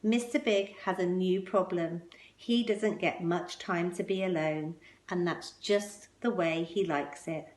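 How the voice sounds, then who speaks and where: A woman reading a children's picture book aloud expressively, with measured, emphatic phrasing and pointed pauses. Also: lowish voice, a little mellow. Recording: roomy, echoing indoor sound.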